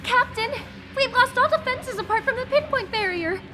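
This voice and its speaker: A woman's voice: extremely high pitch, expressive, moderate pace, over music. A woman voicing an earnest young bridge crew member in a parody anime dub. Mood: urgent.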